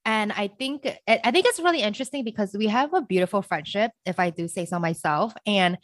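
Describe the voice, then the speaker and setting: A woman's voice: mid-range voice, a little fast, no room sound, clean studio sound. A young woman hosting a podcast, chatty and bubbly, laughing often and rambling through her questions. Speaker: podcast host. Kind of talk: casual interview chat.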